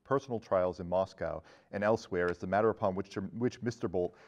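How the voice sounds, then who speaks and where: A man's voice: low voice, brisk, muffled recording. A man hosting a podcast in a conversational film discussion. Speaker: podcast host.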